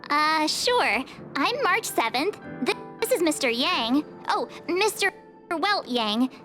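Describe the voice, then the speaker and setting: A woman's voice: high voice, very animated, takes it slowly, pushed and bright, faint music behind, exaggerated voice. A woman playing a perky, cheeky teenage girl adventurer in a game, bubbly and informal. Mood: bright, eager. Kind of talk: scripted game dialogue.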